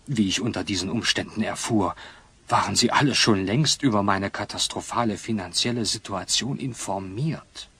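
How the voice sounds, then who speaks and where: A man's voice: lowish voice, moderate pace, fairly bright tone, breathy edge, dry recording, exaggerated voice. An actor playing a dignified, contrite middle-aged magnate and father in an audio drama, speaking formally and grandly. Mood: contrite, solemn. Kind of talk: German audio drama acting.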